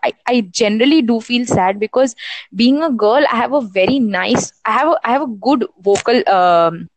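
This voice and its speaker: A woman's voice: fairly high pitch, moderate pace, no room sound, rough recording. A young woman from India talking about her worries in a casual voice chat on a phone app, rambling with lots of restarts. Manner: rambling, with many restarts and "you know".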